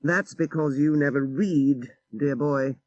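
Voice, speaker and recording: A man playing a suave, bookish Victorian gentleman. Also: a little high, steady tone, slow, no echo, muffled recording. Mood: urbane, teasing. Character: bookish, worldly gentleman friend, urbane and knowing.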